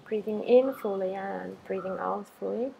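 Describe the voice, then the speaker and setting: A woman teacher leading a guided meditation in a calm, unhurried manner, giving simple instructions with gentle pauses. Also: medium pitch, creaky, noisy background.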